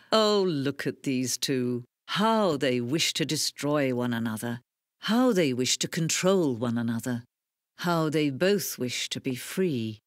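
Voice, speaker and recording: A solemn, knowing game narrator. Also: female voice, deep voice, no echo, studio quality. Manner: measured, solemn, directly addressing the listener. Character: calm, knowing female narrator speaking to the player. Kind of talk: scripted narration addressing the player. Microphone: close studio mic.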